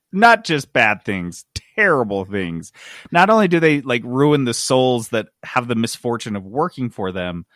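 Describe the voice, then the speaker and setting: A man's voice: on the high side, a bit bright, no echo, studio quality. An American man hosting a casual gaming news chat, sardonic and prone to sweary outbursts. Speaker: podcast host.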